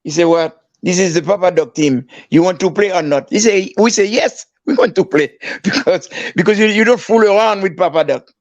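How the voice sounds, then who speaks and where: An older man from Haiti tells his story slowly, pausing as he goes. His voice sits high for a man and sounds close and dry, with no room around it. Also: no echo, muffled recording. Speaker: guest. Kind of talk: storytelling in conversation.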